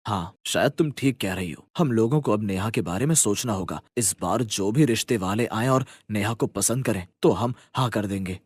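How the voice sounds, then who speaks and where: Man as a mild, soft-spoken middle-aged father. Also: on the low side, normal speed, few pauses, slightly rough, dry recording, studio quality. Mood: resigned, thoughtful.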